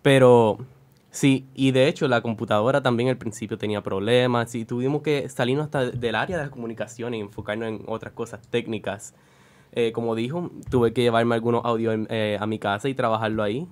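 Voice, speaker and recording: Young man, Puerto Rican accent, middle-pitched voice, informal, halting explanations, interview guest. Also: fairly even intonation, darkish tone. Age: young adult. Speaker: student audio editor, guest. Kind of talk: conversational interview answers.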